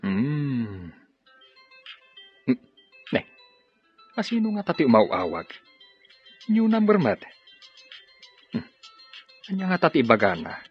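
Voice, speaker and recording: A man acting a gentle middle-aged father and professor in Ilocano radio drama, with an Ilocano accent. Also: mid-range voice, huge pitch swings, takes it slowly, pauses often, lo-fi sound, faint music behind. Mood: busy, casual. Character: fatherly retired professor and farm owner, gentle.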